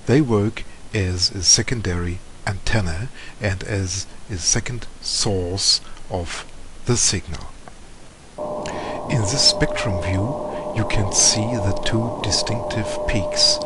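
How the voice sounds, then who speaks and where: A man with a German accent narrating an explanatory radio tutorial in a measured, halting way. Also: on the low side, background noise. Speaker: amateur radio presenter.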